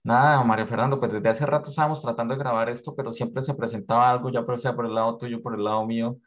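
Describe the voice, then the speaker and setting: A man's voice: medium pitch, steady tone, fast talker. A man with a Colombian accent hosting a casual interview, often repeating words as he goes. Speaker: interviewer, exam preparation tutor. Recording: dull, lo-fi audio.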